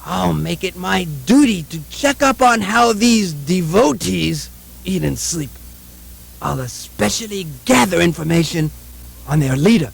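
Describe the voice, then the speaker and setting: High, gravelly, fussy puppet holy man. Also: lively intonation, unhurried, heavily acted. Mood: scandalized, scolding. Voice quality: raspy, creaky. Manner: insistent, exclaiming, repetitive, sanctimonious. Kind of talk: theatrical puppet show acting.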